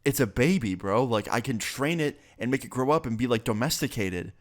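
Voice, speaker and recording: A man's voice: mid-range voice, lively intonation, brisk, lightly acted. A young man voicing a reckless, carefree teenage boy who talks in laid-back slang. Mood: casual.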